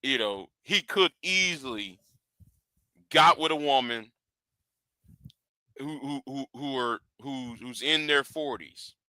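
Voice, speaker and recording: Stammering man in his forties, bright voice. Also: medium pitch, takes it slowly, pauses often, smooth, no echo, low-quality recording. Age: 40s. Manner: rambling, stammering repeats. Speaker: live stream host. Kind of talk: casual commentary.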